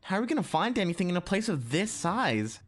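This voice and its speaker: A woman's voice: lowish voice, big pitch swings, a little fast. A woman voicing an earnest, plaintive little boy in a space fantasy audio drama.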